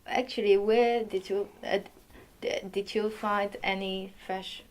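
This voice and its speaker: Slow-spoken woman interviewer. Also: medium pitch, fairly even intonation, darkish tone. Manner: hesitant questions with fillers. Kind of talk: oral history interview.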